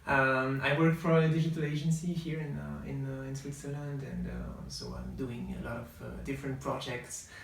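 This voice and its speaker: A man's voice: mid-range voice, expressive, normal speed, creaky, hardly any pauses. Hesitant French-accented man co-host. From northern France. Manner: hesitant, many fillers and restarts. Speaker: podcast co-host. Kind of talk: casual chat. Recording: very echoey room, far from mic.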